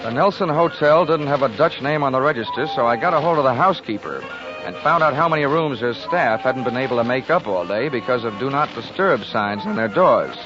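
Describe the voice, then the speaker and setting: Wry, animated hard-boiled radio detective played by a man. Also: mid-range voice, a little fast, lightly acted. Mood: wry narrating. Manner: wisecracking, clipped, hard-boiled. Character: wry, hard-boiled male insurance investigator. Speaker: lead actor and narrator of a radio detective drama. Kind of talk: 1940s radio acting. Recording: muffled 1940s radio recording with music.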